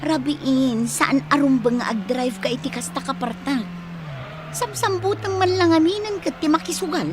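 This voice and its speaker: A woman's voice: high voice, normal speed, music in the background, close to an everyday voice. A woman with a Filipino accent playing a sweet, anxious young nurse and girlfriend in a radio drama. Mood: nervous, scolding.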